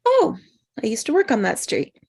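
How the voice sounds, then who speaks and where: A self-deprecating, flustered woman , acted by a woman at a table read. Her voice sits a bit high and swings widely in pitch, with a natural, apologetic delivery. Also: wildly swooping pitch, moderate pace, darkish tone, slightly rough, full character voice. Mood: shy, grateful. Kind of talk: live screenplay table read.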